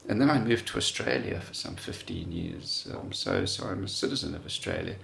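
Middle-aged man, very low voice, heavy gravel, rambling casual chat, faint hiss behind. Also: expressive, brisk, fairly bright tone. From South Africa. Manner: rambling, self-deprecating, many fillers. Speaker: guest.

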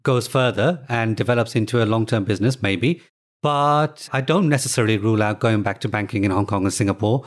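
A middle-aged man, the guest of an interview, talking in a relaxed, thoughtful way with plenty of "you know" fillers. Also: lowish voice, brisk. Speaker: guest, author of language books.

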